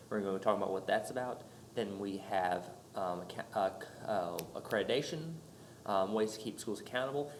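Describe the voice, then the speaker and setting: A young man with a stuffed-up nose from a cold talks through a class presentation at a middle pitch. He rambles, full of ums and restarts, and a faint hiss sits behind him. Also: rough recording. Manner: rambling, with many fillers and restarts. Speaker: student presenter. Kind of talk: informal recorded class presentation.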